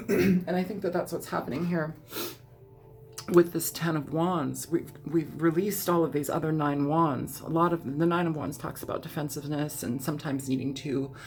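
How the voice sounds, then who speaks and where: A woman reading tarot in a chatty, meandering way, hoarse and scratchy from a cold, often clearing her throat. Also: low voice, fairly even intonation, normal speed, darkish tone. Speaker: tarot reader. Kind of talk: casual tarot reading monologue.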